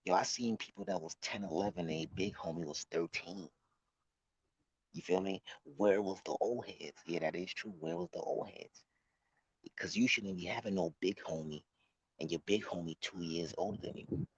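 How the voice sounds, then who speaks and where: A man of about thirty giving casual, slangy street commentary in a halting, repetitive way, recorded outdoors. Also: deep voice, breathy edge, dry recording. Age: late 20s, about thirty. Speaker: vlog host. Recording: muffled, noisy outdoor recording with clicks.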